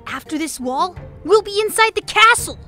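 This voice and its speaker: Woman putting on a brash, shrill little rat boy. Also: animated, bright, forceful tone, faint music behind, exaggerated voice. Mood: cocky, friendly. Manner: cocky, slangy, hurried exclamations. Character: brash, impatient young rat boy, bossy street urchin.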